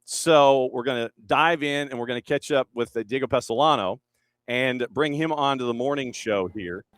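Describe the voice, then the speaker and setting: A man's voice: mid-range voice, normal speed, a bit bright, clear, resonant tone, dry recording. Chatty male morning show host, dry studio sound. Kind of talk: casual interview.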